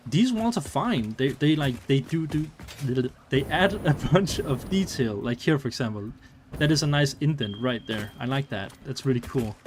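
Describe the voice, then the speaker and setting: A man's voice: mid-range voice, slight background noise. Rambling Danish-accented man streaming. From Denmark. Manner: rambling, lots of fillers and restarts. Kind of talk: casual live-stream chat.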